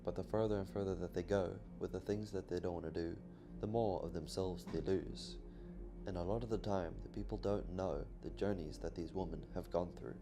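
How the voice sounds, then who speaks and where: A deep, gravelly man reads documentary narration in a measured, plain way. Music plays clearly behind him. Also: fairly even intonation. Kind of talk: scripted narration.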